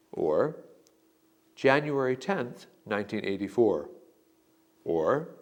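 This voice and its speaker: A man giving slow, deliberate sample answers in a scripted lesson. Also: medium pitch. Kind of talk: scripted instructional narration.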